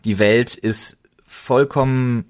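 A man's voice: medium pitch, steady tone, slow pace. A man co-hosting a podcast in casual chat, speaking in an emphatic, slangy and enthusiastic way. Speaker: podcast co-host.

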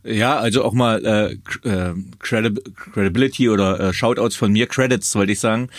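A man's voice: on the low side, moderate pace, no echo, clean studio sound. A man hosting a podcast interview, chatty and hesitant, with many fillers as he asks questions. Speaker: podcast host.

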